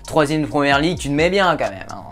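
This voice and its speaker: A man's voice: fairly high pitch, big pitch swings, fast talker, fairly bright tone, soft background music. A man hosting a casual football talk show, chatting and joking with viewers, with frequent hesitations. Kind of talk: casual football commentary vlog.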